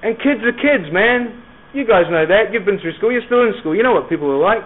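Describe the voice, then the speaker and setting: A man with a softened Scottish accent and a touch of New Zealand, preaching to young people with rhetorical questions, pauses and emphatic repetition. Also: high-pitched, lively intonation. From Scotland, moved to New Zealand as a schoolboy. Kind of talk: evangelistic sermon to young people. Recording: narrow, phone-like lo-fi sound.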